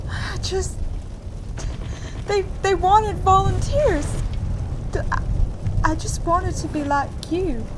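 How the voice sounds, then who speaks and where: Woman as a high-voiced wronged superheroine. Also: slow pace, pauses often, background noise. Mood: shaken, earnest. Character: wronged young superheroine, earnest, vengeful. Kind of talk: radio play acting. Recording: voice over dramatic music.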